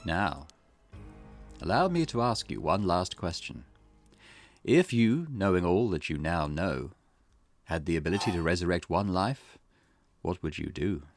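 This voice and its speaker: A man's voice: low voice, lively intonation, a little slow, long pauses. A man reading aloud the formal lines of a mysterious spirit guide figure in a game, over background music. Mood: solemn. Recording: game music playing behind.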